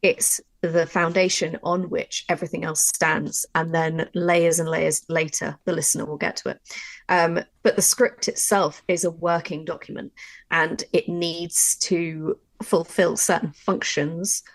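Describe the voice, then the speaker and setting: Woman, low creaky voice, chatty workshop explainer, informal asides, dry close sound. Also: little pitch movement, unhurried, no echo. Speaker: workshop co-presenter and audio drama writer. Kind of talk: casual explanatory workshop talk.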